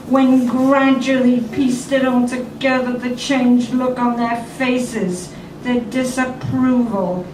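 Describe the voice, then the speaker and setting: High-voiced woman reading in a roomy venue. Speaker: poetry reader at a tribute event. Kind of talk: live reading of a comic narrative poem. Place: indoor performance venue. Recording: roomy, noisy live venue, distant mic.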